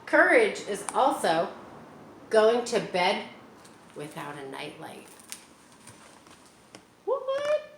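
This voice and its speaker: A woman reading a picture book aloud to children, stopping often for gentle, chatty asides. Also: mid-range voice, huge pitch swings, extremely slow, pauses often. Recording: echoey room with faint hiss.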